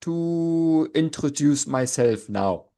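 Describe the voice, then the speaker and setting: German-accented man, 40s, slow unhurried delivery, joking and halting, podcast co-host. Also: fairly high pitch, expressive, mellow. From Germany, grew up in East Berlin. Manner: joking, self-mocking, halting with restarts. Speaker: podcast co-host, language teacher. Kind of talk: casual chat.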